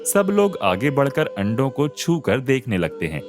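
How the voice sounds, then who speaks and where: A man narrating a scripted animated moral story. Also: mid-range voice, unhurried. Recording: music behind the voice.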